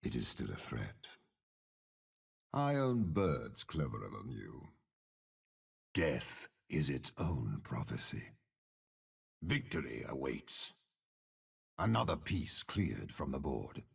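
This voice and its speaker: An actor playing a stern, scheming old general in a video game, with a gravelly, raspy voice and a commanding, deliberate, sardonic delivery. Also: male voice, extremely low pitch, huge pitch swings, heavily acted. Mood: cold, grim. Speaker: game character. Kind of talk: dramatic game voice lines.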